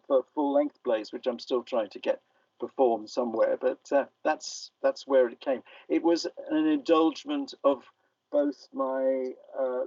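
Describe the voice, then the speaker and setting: An older man giving a casual, reflective interview as a playwright, talking in a halting, self-effacing, wordy way. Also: medium pitch, a little mellow, no room sound. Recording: dull, muffled, distant radio-like sound.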